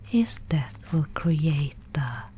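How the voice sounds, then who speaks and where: A woman playing a mysterious, solemn guide of a gloomy fantasy realm in an ASMR roleplay, whispering breathily and close to the microphone with deliberate pauses. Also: sits low, very animated, slight background noise, heavily acted. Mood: ominous, guiding. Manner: slow, hushed, solemn storytelling with deliberate pauses.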